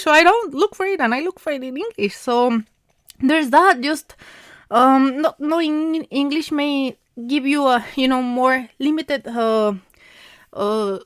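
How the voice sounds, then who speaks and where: Woman, thirties, Mexican Spanish accent, native Spanish speaker, informal solo podcast chat; fairly high voice, hesitant, lots of fillers, laughs lightly, dry close recording. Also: no room sound. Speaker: podcast host. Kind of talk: casual monologue.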